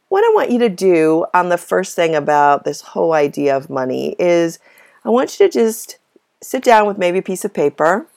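Female podcast host, lowish and gravelly, slightly hoarse, casual and explanatory delivery. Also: darkish tone. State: slightly hoarse from a minor bug. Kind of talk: casual solo podcast talk.

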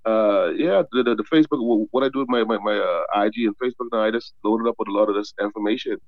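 A man from the Bahamas, a guest author, chatting casually in an interview, often repeating words as he tells animated stories. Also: on the low side, quick pace, no room sound, narrow, tinny audio.

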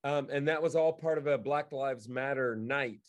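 A man talking casually as he tells stories, in an animated way, acting out remarks that people made. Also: mid-range voice, moderate pace. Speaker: guest co-host and theatre director. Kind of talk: casual storytelling in conversation.

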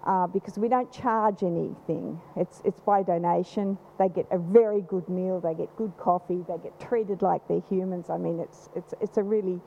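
A woman with a loud voice, speaking from the audience at a panel event, rambling with many restarts. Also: on the low side, fairly even intonation, moderate pace, vocal fry, hardly any pauses, a little hiss or hum. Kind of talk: unscripted comment from the floor. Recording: slightly dull live event recording.

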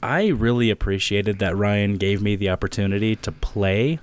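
A man's voice: lowish voice, normal speed, clear, resonant tone. A man speaking as a voice actor interviewee in casual, conversational podcast chat.

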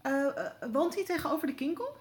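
Young female reporter, slightly high voice, inquisitive, halting, persistent, naturalistic audio drama. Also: expressive. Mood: probing, puzzled. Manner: hesitant, probing, polite. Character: eager, inquisitive young woman reporter, hesitant but persistent.

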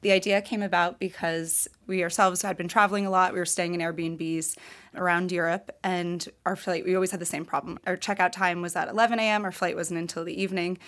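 A woman, a start-up founder, explaining her venture in an articulate, steady way. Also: on the low side, brisk. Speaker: MBA student, start-up founder. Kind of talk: interview answers.

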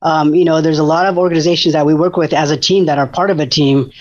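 A man's voice: a little high, fairly even intonation, fast talker. A man who coordinates disaster preparedness for a food bank, talking enthusiastically as a radio guest, with many fillers and restarts. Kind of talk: casual radio interview. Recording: muffled lo-fi sound.

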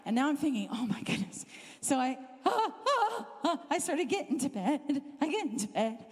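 Middle-aged Southern Californian woman, guest preacher, bright and higher-pitched, playful, recorded live in a reverberant church. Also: normal speed. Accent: Southern Californian, softened by years in the UK. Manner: animated, self-deprecating, laughs often. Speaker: guest speaker. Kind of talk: humorous storytelling sermon. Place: church hall.

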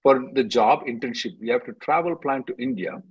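A man's voice: mid-range voice, a little fast, slightly rough, low-quality recording. A man hosting a call-in as an attorney, reading out questions and answering informally in a blunt, emphatic way, often repeating himself.

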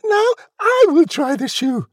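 A narrator voicing a pushy, ugly stepsister villain who speaks in a shrill, insistent storybook screech. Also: big pitch swings, measured pace, no echo, clean studio sound.

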